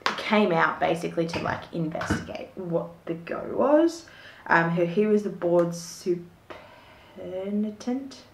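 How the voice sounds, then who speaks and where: A woman's voice: lowish voice, slight background noise. A young woman telling a true crime story to the camera in a casual, rambling way, full of fillers, reading parts aloud. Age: young adult. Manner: chatty, rambling, many fillers and likes. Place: small home room with shelves of collectibles. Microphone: fixed camera at desk. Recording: echoey room.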